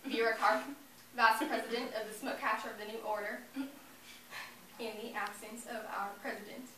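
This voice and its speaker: A woman's voice: on the high side, fairly even intonation, normal speed, sharp tone. A young woman performing a two-faced, officious small-town church lady in a comic stage monologue, with syrupy, exaggerated theatrical delivery. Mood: pompous, officious. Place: indoor competition room, recorded from audience distance. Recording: roomy, distant mic, faint noise.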